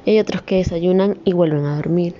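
A woman's voice: on the low side, fairly quick, warm tone, muffled recording, a little hiss or hum. A young woman, a communications student, talking in a rambling, earnest personal vlog that rises into emphatic scolding. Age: young adult.

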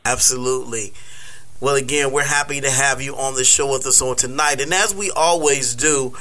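A man hosting a radio show, interviewing a guest in a slow, halting way with many fillers. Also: medium pitch, fairly even intonation, sharp tone.

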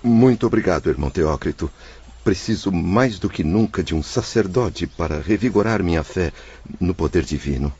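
Gruff, deep, troubled penitent spirit. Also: male voice, animated, moderate pace, darkish tone, muffled recording. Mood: grateful, needy. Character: troubled, devout former wine merchant, a suicide's spirit. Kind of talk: radio drama acting.

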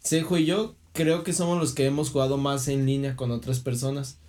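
A man's voice: medium pitch, fairly even intonation, brisk, darkish tone. A young man with a Mexican Spanish accent in a casual, rambling chat about gaming. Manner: rambling, chatty, drops into quoted voices.

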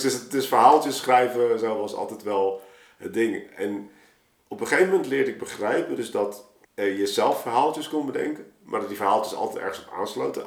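Male podcast guest, low register, halting and informal storyteller, echoing room sound. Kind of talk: casual, reflective storytelling in an interview. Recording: roomy, echoing space.

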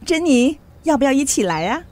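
A woman acting a chatty, gossipy society lady in a Mandarin radio drama, with animated, expressive delivery. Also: high voice, fairly quick. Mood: coaxing, chatty. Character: chatty, gossipy society lady complaining about her bookish husband.

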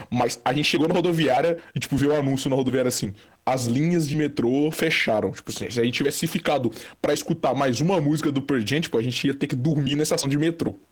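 Husky-voiced young man telling a rambling story. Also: medium pitch, darkish tone. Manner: rambling, many fillers and restarts. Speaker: storyteller guest. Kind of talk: casual chat, telling an anecdote.

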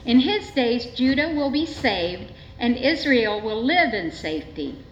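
A woman gives a formal scripture reading in a measured, solemn manner at a church service. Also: a little high, very animated. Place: indoors in a church. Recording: echoey church, dull sound, faint noise.

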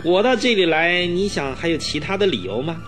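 A man's voice: fairly high pitch, expressive, fairly quick, noisy background, natural voice. An actor playing a smug, cruel murderer in a radio drama, sneering and boastful as he mocks a victim. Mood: gloating, mocking. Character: gloating male murderer, cruel, smug, mocking villain. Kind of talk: Mandarin radio drama acting.

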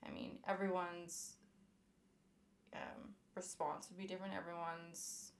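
A soft-spoken woman co-hosting a casual podcast, talking hesitantly with frequent pauses and stumbles. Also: lowish voice, flat delivery, vocal fry, slight background noise. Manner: hesitant, many "um"s, stumbles over words. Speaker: podcast co-host.

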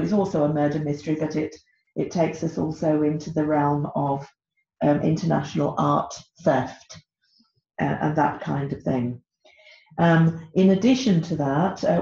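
A woman's voice: sits low, mellow. A middle-aged British woman, an author on a podcast, talking hesitantly with many ums. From Britain. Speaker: author guest. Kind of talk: podcast interview. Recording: echoey, dull lo-fi sound.

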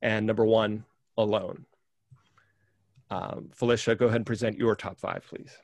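A man's voice: lowish voice, fairly even intonation, moderate pace, vocal fry, dry recording. A man hosting a casual music podcast, rambling enthusiastically with lots of fillers. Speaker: podcast host.

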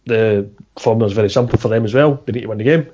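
A man's voice: lowish voice, rapid-fire, warm tone, slight background noise. A man chatting casually about football on a podcast, with hesitant restarts. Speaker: podcast co-host. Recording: dull lo-fi sound.

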